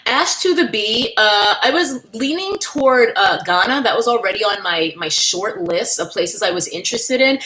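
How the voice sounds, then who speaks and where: A woman's voice: mid-range voice, lively intonation, moderate pace, hardly any pauses, sharp tone, poor audio. A woman from New York, hosting a casual livestream, chatting candidly and thanking viewers by name as she reads their comments. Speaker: livestream host.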